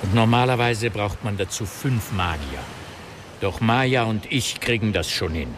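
An actor playing a confident magician who gives instructions with authority in a fantasy radio drama. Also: male voice, fairly low pitch, expressive, a little slow, background noise. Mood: confident, instructing. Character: confident, commanding male magician. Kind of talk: German radio drama dialogue. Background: music.

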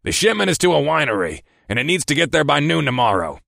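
A gruff, spiteful male boss from an audiobook, acted out by a male narrator. The voice is gravelly and pushed well up in pitch, barking and snapping with irritable suspicion. It sits close and dry. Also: big pitch swings, quick pace, bright, forceful tone, no echo, full character voice. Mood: spiteful grumbling. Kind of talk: audiobook character voice.

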